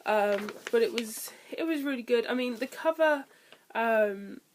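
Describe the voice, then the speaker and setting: A young woman talks through her month's reading in a loose, chatty way, with lots of ums and likes. Her voice sits a little high, and it sounds nasal and stuffy because she is coming down with a cold. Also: unhurried. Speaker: book vlogger. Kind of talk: casual book review vlog.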